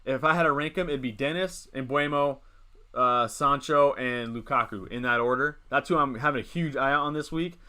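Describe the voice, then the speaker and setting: Bright-voiced young man chatting on stream. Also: mid-range voice, normal speed, smooth. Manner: rambling, reads chat and answers it. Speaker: livestream host. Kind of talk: casual live stream chat.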